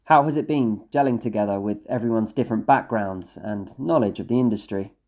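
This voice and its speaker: Mellow-voiced man asking questions. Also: on the low side, brisk. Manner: short, direct questions. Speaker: interviewer. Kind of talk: podcast interview questions.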